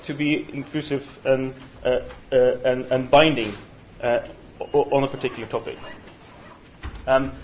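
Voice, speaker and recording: Norwegian man teaching a halting seminar. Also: on the high side, slight background noise. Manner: halting, many fillers and restarts. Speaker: teacher leading a study session. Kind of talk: university seminar lecture. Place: university room. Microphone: single room mic.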